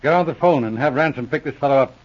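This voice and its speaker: Guy acting no-nonsense police inspector, 40s radio show, average pitch, muffled old sound. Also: quick pace, close to an everyday voice. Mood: brisk, calm. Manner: matter-of-fact, clipped questioning. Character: brisk, level-headed police inspector telling old cases. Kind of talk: 1940s radio drama acting. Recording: dull old radio recording.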